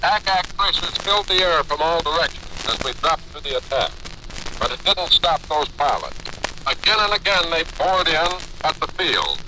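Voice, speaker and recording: An American man narrating a scripted 1950s radio combat report as a military correspondent. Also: fairly high pitch. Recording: noisy old field recording on disc.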